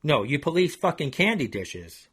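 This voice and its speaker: A man's voice: a little high, very animated, normal speed, a bit bright, breathy edge, voice pushed up, heavily acted. A man doing a shifty, foul-mouthed liar. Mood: angry. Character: shifty, hot-tempered man caught lying.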